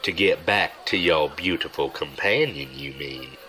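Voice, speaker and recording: An actor playing a sly, regretful gambler and old friend, wry and confiding, in an audio drama. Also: male voice, very deep voice, a little slow, sharp tone, exaggerated voice. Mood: rueful. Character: sly, regretful gambler, smooth-talking old buddy, man.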